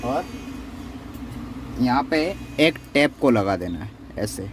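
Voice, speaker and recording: A man with a medium-pitched voice talks through a hands-on repair tutorial. He is conversational and explanatory, circling back to repeat points. There is clear ambient noise behind him. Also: expressive, takes it slowly. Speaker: phone repair tutorial host. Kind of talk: unscripted step-by-step repair demonstration.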